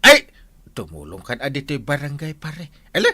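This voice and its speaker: Man acting excitable, flustered Ilocano village captain. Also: fairly high pitch, moderate pace, noisy background. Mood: flustered, pleading. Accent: Ilocano, Philippines. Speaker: barangay captain character. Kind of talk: comedy drama dialogue.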